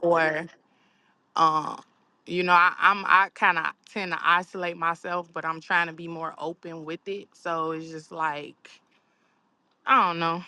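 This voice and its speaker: A raspy-voiced woman from Chicago, a rapper being interviewed, speaking softly and hesitantly with many fillers. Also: fairly low pitch, steady tone, unhurried, no room sound. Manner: soft-spoken, hesitant, trailing off with fillers. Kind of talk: casual interview chat.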